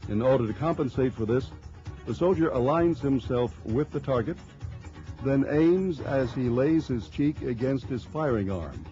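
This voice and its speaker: A man reading scripted instructional narration for a training film in a measured, formal, explanatory way. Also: medium pitch, expressive, mellow, faint music behind. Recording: dull lo-fi early 1990s film soundtrack.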